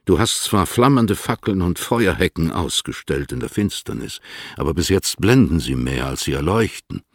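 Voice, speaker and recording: A low, creaky, husky male voice, recorded dry and close. He plays a stately older poet answering letters in a literary radio play, measured and formal, with gentle irony. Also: expressive, no room sound. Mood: measured, ironic.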